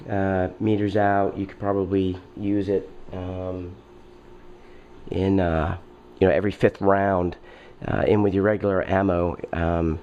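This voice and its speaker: A man's voice: low voice, flat delivery, muffled recording, slight background noise. Nasal man rambling with a head cold. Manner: rambling, halting, many fillers and throat clearing. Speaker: video host. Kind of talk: casual unboxing talk.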